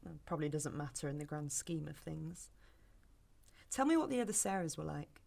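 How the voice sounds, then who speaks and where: A woman's voice: low-pitched, expressive, moderate pace, creaky, a little mellow, full character voice. A woman with a British accent acting a wary, bereaved first date in an audio drama, dry, sarcastic and probing. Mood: softening. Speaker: actor in a sci-fi audio drama. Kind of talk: naturalistic audio drama dialogue.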